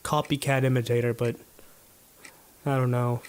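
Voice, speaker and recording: A man's voice: medium pitch, flat delivery, normal speed, clear, resonant tone, lightly acted. A man playing an earnest, trusting 1950s policeman in a noir radio drama, speaking in a hesitant, conversational way. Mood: relieved, unsure.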